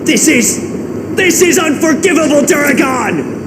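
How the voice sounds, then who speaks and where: A passionate young video game hero, very high-pitched and defiant. The impassioned lines ring with long reverb under heavy noise. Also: female voice, animated, unhurried. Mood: outraged. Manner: shouting, impassioned. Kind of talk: dramatic video game voice acting. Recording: very noisy, echoing game audio with reverb.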